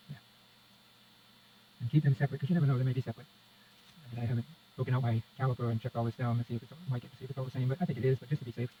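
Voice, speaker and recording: A man giving a casual hands-on repair demonstration, muttering step by step as he works. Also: medium pitch. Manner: muttering step-by-step commentary to himself and viewer. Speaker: presenter of a radio repair how-to. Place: indoors at a repair workbench. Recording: dull lo-fi sound with faint noise.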